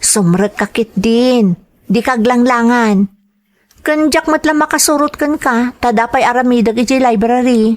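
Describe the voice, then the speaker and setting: A woman's voice: a little high, a little slow, natural voice. A woman acting out a dutiful college-age daughter in an audio drama, with an Ilocano accent. She sounds young, earnest and a little fretful. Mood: light, friendly.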